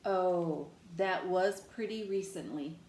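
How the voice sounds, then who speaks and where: A woman's voice: lowish voice, slow, darkish tone, slight background noise, pitched below the performer's own voice. A woman voicing a stubborn, defensive, dramatic pigeon from a children's picture book, stretching words with exclamatory mock indignation. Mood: indignant, defensive. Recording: roomy indoor echo.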